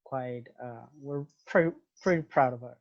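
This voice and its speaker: A young man from Venezuela talks through an interview in English, his Spanish accent clear. He thinks aloud, repeating and restarting his phrases as he looks for the right word. His voice sits at a middle pitch, and the recording sounds muffled and lo-fi. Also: moderate pace. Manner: thoughtful, restarts phrases while searching for English words. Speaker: guest. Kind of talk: casual interview conversation.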